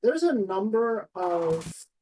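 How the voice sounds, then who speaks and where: A woman's voice: medium pitch, very animated, takes it slowly, mellow, low-quality recording. A woman chatting casually and informally as she streams game cutscenes. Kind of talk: casual chat. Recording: music playing behind the voice.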